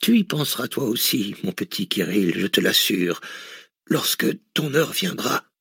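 A man voicing a gravely wounded old billionaire who speaks in a weak, breathy whisper, slow and mocking. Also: on the low side, sing-song, bright, forceful tone, no room sound, studio quality, heavily acted. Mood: solemn. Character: dying old male billionaire, weak, sly, sarcastic.

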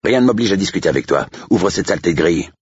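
A man's voice: low voice, expressive, rapid-fire, husky, no room sound, highs cut off, pitched above the performer's own voice. A man voicing a cocky older estate agent in an audiobook story, scornful and taunting. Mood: provocative, smug. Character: older male estate agent, sneering, mocking, cocky swindler.

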